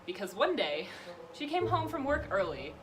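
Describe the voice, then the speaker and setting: A woman's voice: a little high, big pitch swings, moderate pace, a crowd in the background. A young woman vlogger telling personal stories in a casual, chatty, playful way, recapping details with mock seriousness. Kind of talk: casual chatty storytelling with a friend.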